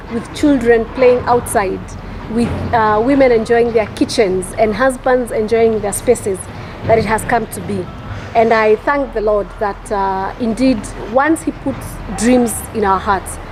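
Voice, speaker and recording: A woman's voice: on the high side, background noise. Earnest Kenyan-born woman entrepreneur. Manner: earnest, reflective, faith-filled, speaks in repeated phrases. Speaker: entrepreneur, property developer. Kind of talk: interview answers.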